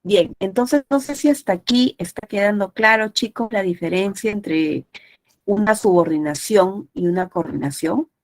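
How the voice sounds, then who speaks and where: A woman's voice: medium pitch, moderate pace. A woman teaching an online class with a nose congested from a cold, explaining didactically and often checking understanding. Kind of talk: explanatory lecture with frequent check-in questions.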